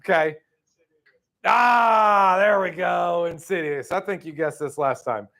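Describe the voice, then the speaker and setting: A man's voice: high-pitched, slow, gravelly. Chatty male sales trainer. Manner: lively, casual, chatty, many fillers. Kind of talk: live training talk. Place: training room.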